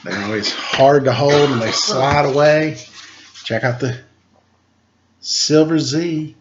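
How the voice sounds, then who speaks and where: A man's voice: fairly high pitch, slow, lo-fi sound, background noise. A man doing a picture book read-aloud as a storyteller, playful and informal, with a touch of theatrical announcing. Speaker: storyteller, teacher.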